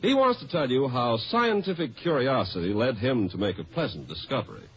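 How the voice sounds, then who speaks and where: A man's voice: medium pitch, big pitch swings, brisk, breathy edge. A man working as an announcer, reading scripted links for a 1950s radio show. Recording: dull vintage radio recording. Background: faint music.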